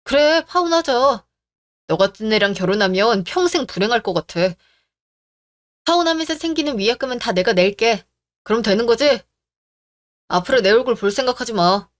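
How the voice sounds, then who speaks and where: A woman's voice: a little high, lively intonation, normal speed, pauses often, natural voice. A woman voicing an entitled young male fiancé who talks in a demanding, sneering way in a story radio drama. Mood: angry.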